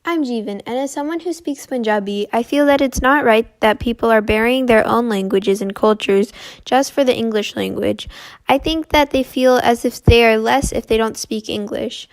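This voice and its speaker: A woman's voice: a little high, normal speed. A woman speaks earnestly and with concern as an interviewee.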